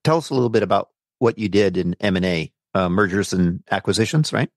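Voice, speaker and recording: Man, podcast host, low voice, casual interviewing style, asking questions. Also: a little fast.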